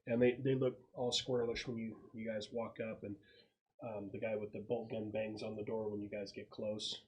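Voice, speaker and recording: A man narrating a tabletop game as game master in a casual, slangy way with halting descriptions. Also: lowish voice, little pitch movement, muffled recording.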